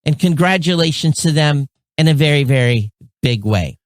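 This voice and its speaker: A man's voice: fairly high pitch, lively intonation, normal speed, no echo, studio quality. A man reading out a formal award announcement as the presenter.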